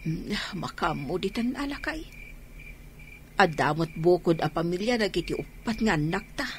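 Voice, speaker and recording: A woman's voice: fairly low pitch, animated, normal speed, a little hiss or hum, close to an everyday voice. An actress playing an anxious, nagging middle-aged mother in a radio drama, fretful and complaining. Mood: worried. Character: anxious, nagging mother worn down by her children.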